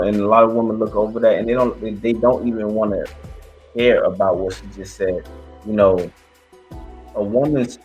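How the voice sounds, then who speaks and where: A man hosting a reaction show, commenting casually on a podcast clip in an emphatic, preachy way that repeats points. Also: lowish voice. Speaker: reaction channel host.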